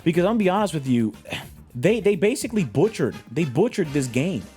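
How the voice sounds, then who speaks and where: A man talks through a game ranking with a lively, swooping pitch, his voice hoarse from being sick. He is blunt and gets excited quickly. Soft music plays under him. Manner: excitable, blunt, with playful outbursts. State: hoarse from illness. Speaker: gaming video creator. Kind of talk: opinionated tier list review.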